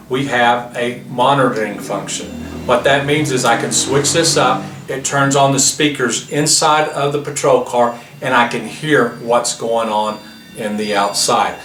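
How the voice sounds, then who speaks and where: Man, product demo presenter, bright-toned voice, recorded from a distance with faint hiss. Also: mid-range voice. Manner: plain explanatory, walks through features step by step. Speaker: product demonstrator. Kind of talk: product demonstration. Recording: roomy, distant mic with faint noise.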